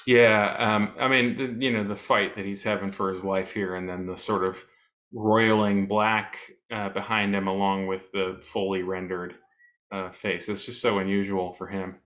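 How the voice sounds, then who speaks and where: A man with a lowish voice talks through artwork in a measured, thoughtful way. He often stops on small fillers while he looks for the right word. It is relaxed co-host chat about art. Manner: thoughtful, searching for words with frequent uh fillers. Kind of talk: casual art discussion.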